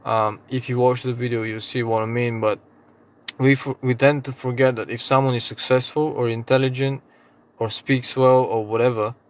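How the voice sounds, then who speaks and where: A man's voice: medium pitch, flat delivery, normal speed. A man speaking English as a second language, casually giving a rambling motivational talk to camera, often restarting words and swearing freely. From outside the UK. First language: not English. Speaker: vlogger, trading channel host.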